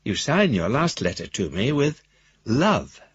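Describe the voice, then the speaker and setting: A man's voice: mid-range voice, big pitch swings, measured pace, slightly rough, dry recording, highs cut off, heavily acted. An actor playing a philosophical, courtly businessman in love, reading his formal, wistful letter aloud in a radio drama. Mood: tender.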